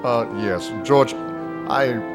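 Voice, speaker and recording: An actor playing a nervous, apologetic bank examiner in a live radio play. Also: male voice, mid-range voice, very slow, over music. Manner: hesitant, apologetic. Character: nervous male bank examiner, middle-aged.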